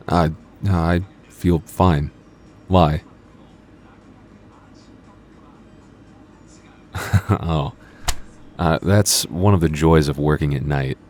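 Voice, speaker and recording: Guy acting as a super deep voiced young vampire coworker, chill n teasing, kinda stammery, asmr roleplay, noisy background. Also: a little mellow. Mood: tired, self-deprecating. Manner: hesitant, stammering, dryly teasing. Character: teasing, laid-back young male vampire convenience-store night-shift coworker. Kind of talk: ASMR roleplay, intimate casual dialogue.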